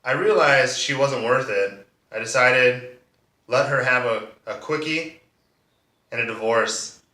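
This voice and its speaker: A man playing a soft-spoken, bitter defendant giving measured, restrained testimony in a courtroom monologue. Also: medium pitch. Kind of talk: monologue performance of a courtroom drama. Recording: roomy echo, distant microphone.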